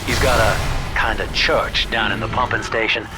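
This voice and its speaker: A man's voice: fairly low pitch, fairly even intonation, normal speed, loud music behind the voice. An actor playing a folksy, wry middle-aged American man with a drawl, talking colloquially over a radio. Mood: dismayed, wry. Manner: drawling, colloquial, old-fashioned slang.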